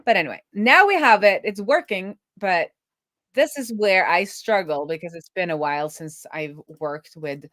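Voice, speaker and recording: A woman with a fairly low voice talks through her work as a casual coding streamer. The sound is dry, and she reasons out loud, trailing off and rephrasing her thoughts as she goes. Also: lively intonation, normal speed, no room sound. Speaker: host, web developer live coding.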